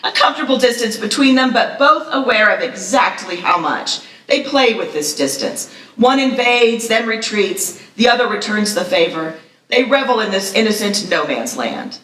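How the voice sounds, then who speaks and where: A woman narrates a screenplay live, reading the action lines vividly. Her voice is bright and on the high side. The sound is a little distant, as from a mic across the room. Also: animated, normal speed, roomy sound.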